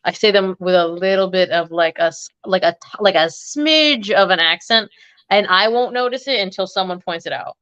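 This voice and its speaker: A woman's voice: medium pitch, lively intonation, normal speed, fairly bright tone, smooth. Chatty woman on a muffled stream. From United States, Egyptian family. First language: Arabic. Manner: chatty, rambling with fillers and laughs. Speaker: guest fragrance creator. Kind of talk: casual live stream chat.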